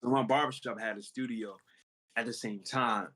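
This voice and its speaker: Give me a relaxed man talking casually about rapping, mid-range voice. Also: mid-range voice, normal speed, slightly rough. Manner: relaxed, explains with many "you know what I'm saying". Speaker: rapper guest. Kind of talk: casual interview talk.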